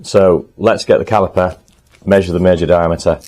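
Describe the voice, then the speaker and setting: Low-voiced man chatting in his workshop. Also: steady tone, fairly quick, a little mellow. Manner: chatty, thinks aloud while working, frequent ums. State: slightly hoarse, clearing throat. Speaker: motorcycle restorer and channel host. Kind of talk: casual workshop talk to camera. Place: home workshop in the countryside.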